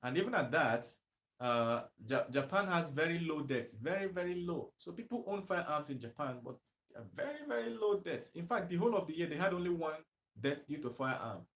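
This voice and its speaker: A man's voice: on the high side, lively intonation, fairly quick, fairly bright tone. A Nigerian man talking on a live stream to viewers, emphatic, repeating himself and asking rhetorical questions. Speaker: livestreaming commentator.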